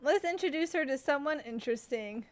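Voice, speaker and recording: High-voiced chatty woman streaming a game. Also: animated, fairly quick. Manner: gushing, chatty reactions. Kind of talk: casual live gameplay commentary.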